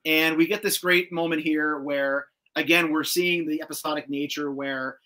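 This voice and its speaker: A man with a bright, forceful voice pitched a bit high hosts a casual rewatch chat. He retells scenes in an animated, slangy way and swears now and then. It sounds like a video call. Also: moderate pace. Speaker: host. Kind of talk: casual chat recapping a cartoon episode. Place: indoor room with shelves of collectibles, on a video call.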